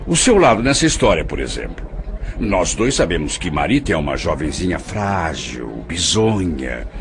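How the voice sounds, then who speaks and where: An actor playing a middle-aged scheming stepfather who feigns fatherly tenderness, smooth-talking and theatrical, with a Brazilian accent in an audio drama. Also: low voice, sing-song, normal speed. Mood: falsely concerned, pleading. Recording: clearly noisy with ambience.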